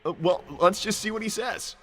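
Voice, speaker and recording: A man's voice: high voice, fairly even intonation, moderate pace, in a large hall, natural voice. A man voicing a laid-back young cartoon hedgehog hero, casual and placating in improvised comedy. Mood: wry. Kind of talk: improvised in-character comedy livestream.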